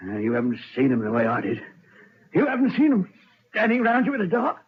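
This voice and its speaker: Husky, raving drunken hangman. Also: high voice, wildly swooping pitch, brisk, exaggerated voice. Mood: drunken, haunted. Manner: slurred, rambling, agitated, repeating himself. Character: drunken, haunted prison hangman, raving about apparitions. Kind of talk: old radio drama acting. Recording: dull lo-fi vintage radio recording.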